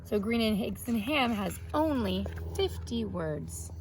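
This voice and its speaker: High voiced lady teacher doing read aloud and lesson stuff for little kids, bit of noise behind her. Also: animated, slow, low-quality recording. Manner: simple step-by-step explaining to young children. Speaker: elementary school teacher.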